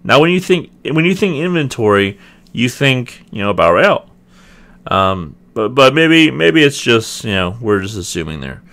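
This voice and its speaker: A young man with a slightly raspy voice, casually reviewing a video game and hedging often. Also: medium pitch, sing-song, normal speed, a bit bright. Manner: rambling, hedging, many ums and restarts. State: clearing throat, voice getting raspy. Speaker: gaming video host. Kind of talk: casual gameplay review commentary.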